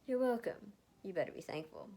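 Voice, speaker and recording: Woman as an aloof, monotone young male warrior. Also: medium pitch, brisk, slightly rough, voice pushed up. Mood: scornful.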